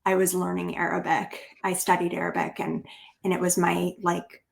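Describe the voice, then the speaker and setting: A woman with an American accent, answering interview questions in a thoughtful, measured way and choosing her words carefully. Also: on the low side, completely flat delivery, gravelly. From Bulgaria, grew up in the United States. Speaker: interview guest, writer and therapist. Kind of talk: reflective interview conversation.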